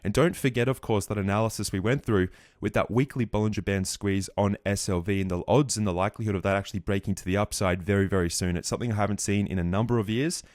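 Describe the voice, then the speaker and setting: A man giving a market recap over his trading charts, a bit croaky, walking through trades confidently. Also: lowish voice, darkish tone, dry recording, studio quality. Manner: fast, confident chart walkthrough. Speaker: trading educator.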